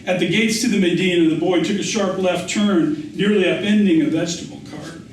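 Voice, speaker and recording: Higher-pitched man reading in an echoey room. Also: a little fast. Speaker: author reading his essay. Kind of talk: literary memoir reading, scripted narration. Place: indoor public reading. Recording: echoey room, distant mic, faint noise.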